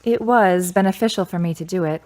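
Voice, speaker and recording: A woman's voice: lowish voice, brisk, a little mellow, lightly acted. A woman acting as a grieving daughter in a radio drama, guarded and skeptical, with a wry, blunt manner.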